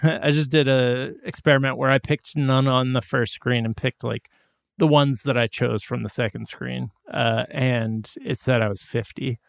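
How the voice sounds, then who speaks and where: A man's voice: mid-range voice, steady tone, moderate pace, gravelly, no room sound, clean studio sound. A man in his forties hosting a casual podcast chat, rambling and swearing freely with lots of "like"s. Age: 40s. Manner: loose, profane, rambling with many "like"s. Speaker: podcast host.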